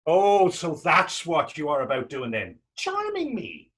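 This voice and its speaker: Man acting husky, teasing young Irish drifter. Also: a little high, huge pitch swings, a little slow, fairly bright tone, full character voice. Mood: wry teasing. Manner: teasing, lilting folksy phrasing. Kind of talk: stage readers theater dialogue.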